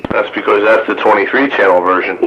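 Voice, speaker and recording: A man's voice: fairly low pitch, brisk. A man chatting casually as a regular on a CB radio roundup, heard through a radio transmission. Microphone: CB radio microphone. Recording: noisy, muffled CB radio transmission.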